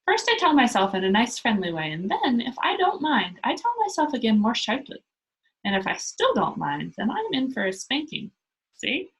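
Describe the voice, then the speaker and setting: A woman plays a boastful, cheerful little girl from a children's storybook. The voice is high and swings widely, with a husky edge. She states wild things as plain fact, bossy and full of gusto. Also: wildly swooping pitch, normal speed, heavily acted, rough recording. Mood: matter-of-fact, playful. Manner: exuberant, matter-of-fact, emphatic. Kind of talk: children's storybook read-aloud.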